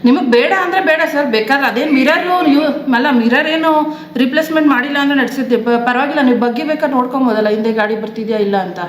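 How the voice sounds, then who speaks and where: A woman making an improvised prank phone call as service staff, deadpan and insistent, repeating herself. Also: high-pitched, very fast, reverberant, a little hiss or hum. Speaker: prank caller posing as car service staff.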